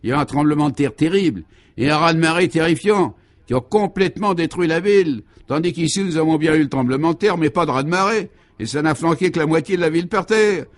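A man's voice: on the high side, quick pace, voice pushed up, lightly acted. A man voicing a fat, jovial small-town mayor in a comic radio serial, declamatory and unshakably optimistic. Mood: proudly emphatic.

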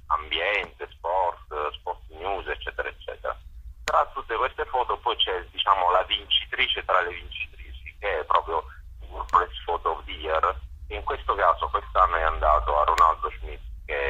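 Hesitant low-voiced man on a phone line. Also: pushed and bright, husky. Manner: hesitant, many fillers and restarts. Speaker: exhibition organizer. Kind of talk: radio phone interview.

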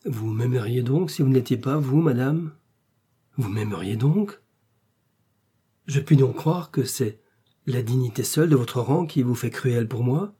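A man's voice: mid-range voice, a little slow, dark tone, slightly rough. A man playing a passionate, melancholy duke in love, speaking in fervent, pleading declamation for a dramatic novel reading. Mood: yearning. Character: passionate, lovestruck English duke, reckless and melancholy.

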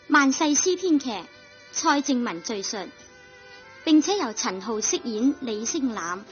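A woman with a Cantonese accent reading scripted radio drama narration in an earnest, moralizing way, on a muffled old recording. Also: a little high. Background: music.

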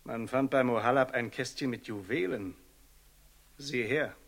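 An actor playing a grave, suspicious ruler in a German radio play, speaking with measured authority. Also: male voice, mid-range voice, gravelly. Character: stern, commanding male ruler, grave and suspicious.

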